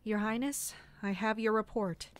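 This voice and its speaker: A woman's voice: mid-range voice, steady tone, moderate pace, clean tone, close to an everyday voice. Dutiful, principled female guard captain played by a woman, mid-range voice, formal military delivery for fantasy audio drama.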